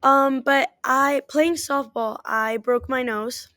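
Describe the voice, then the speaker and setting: Woman, native Spanish speaker, fairly high voice, dry close sound, earnest storytelling. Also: takes it slowly, sharp tone, no room sound. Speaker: athletic trainer. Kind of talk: interview answers.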